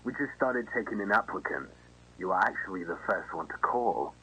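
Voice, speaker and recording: A man's voice: low voice, expressive, normal speed, pushed and bright, slightly rough, exaggerated voice, rough recording. A man playing a friendly, casual restaurant manager reassuring a job applicant in an acted phone conversation. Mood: friendly, businesslike. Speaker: voice actor in an analog horror video. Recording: faint noise and hum.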